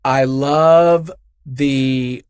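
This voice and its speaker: A man's voice: medium pitch, fairly even intonation, drawn-out words, darkish tone, clear, resonant tone, no room sound. A man being interviewed in a studio as a writer, chatting casually in an effusive, jokey, rambling way. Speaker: interview guest, podcast writer. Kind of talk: casual, unscripted interview chat.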